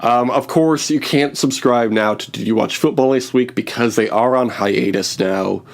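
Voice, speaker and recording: A man's voice: medium pitch, normal speed, vocal fry. A man in his thirties hosting a podcast in casual comedic banter, joking and poking fun at himself. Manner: joking, self-mocking. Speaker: podcast host.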